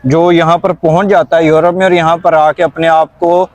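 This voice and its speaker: A man's voice: a little high, flat delivery, moderate pace, darkish tone, no room sound. A man with a Pakistani accent talking in a conversational discussion, explaining earnestly and repeating phrases for emphasis.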